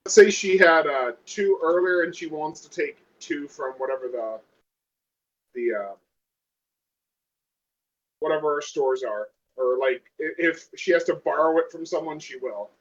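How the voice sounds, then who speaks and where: A man's voice: fairly high pitch, unhurried, pauses often. A man chatting casually as a player at a tabletop game. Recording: dull, lo-fi voice recording.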